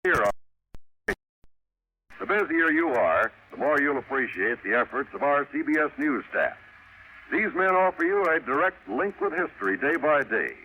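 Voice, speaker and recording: A man's voice: fairly high pitch, sing-song, moderate pace, pauses often, bright, forceful tone. A man with an American accent announcing in a polished, formal 1950s radio style, reading scripted copy. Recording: faintly noisy vintage radio recording.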